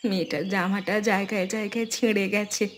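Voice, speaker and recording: A woman's voice: medium pitch, steady tone, quick pace, slight background noise, natural voice. A woman playing a weary, long-suffering poor village mother in a melodramatic cartoon, plaintive and tender in manner. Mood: sorrowful. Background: loud music.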